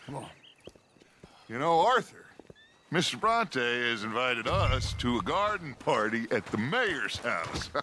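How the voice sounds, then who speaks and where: An actor playing a talkative, theatrical middle-aged outlaw gang leader in a video game cutscene. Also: male voice, medium pitch, sing-song, slow, noisy background. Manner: expansive, theatrical.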